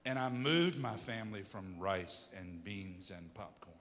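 A pastor in his fifties preaching a sermon, emphatic, swinging from loud bursts to hushed storytelling. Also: low-pitched, animated, unhurried, in a large hall.

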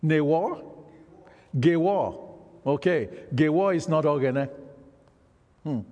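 A man preaches in a slow, deliberate cadence, his fairly high voice ringing through a long-reverberating church hall. He keeps drawing the congregation in with questions. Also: a little mellow. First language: Isoko. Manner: engaging, quizzes the congregation. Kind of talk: sermon.

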